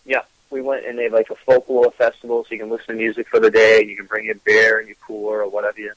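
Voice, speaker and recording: A man's voice: fairly low pitch, completely flat delivery, fast talker, fairly bright tone, no room sound, rough recording. Easygoing man with a Gloucester accent. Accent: Gloucester, Massachusetts. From Gloucester, Massachusetts. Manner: easygoing, many ums and uhs. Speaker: guest. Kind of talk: casual interview chat.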